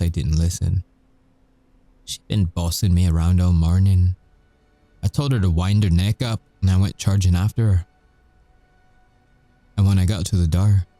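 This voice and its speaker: Casting: male actor for cocky, haunted werewolf soldier in audio roleplay. Deep, very soft-toned voice, wry measured storytelling. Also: dry recording. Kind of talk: audio roleplay monologue, ASMR.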